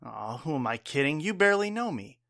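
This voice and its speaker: A man playing a caring, gruff bartender father and war veteran in an audio drama, earnest, wry and plain-spoken. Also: a little high, very animated, fairly quick, a bit bright, dry recording, clean studio sound, full character voice. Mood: tender, worried.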